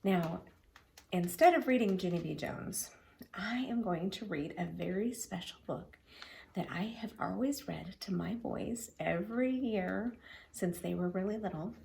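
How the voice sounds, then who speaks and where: A middle-aged woman reading to children in an expressive, simple, explanatory way. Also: fairly low pitch, measured pace, gravelly, slight background noise. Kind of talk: children's read-aloud with friendly introduction.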